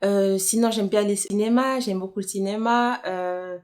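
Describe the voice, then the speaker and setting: A young woman speaks in a casual, chatty vlog style at a middling pitch. She thinks aloud as she answers personal questions, often rephrasing and correcting herself. She comes from Kinshasa and talks like a YouTuber addressing her followers. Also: fairly even intonation, moderate pace, a little mellow. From Congolese, from Kinshasa, living in Switzerland. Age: young adult.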